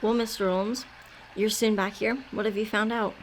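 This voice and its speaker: A woman's voice: medium pitch, level tone, moderate pace, a little hiss or hum, natural voice. A woman playing a helpful, puzzled, plain-spoken police sergeant with a deferential, folksy manner in a radio drama.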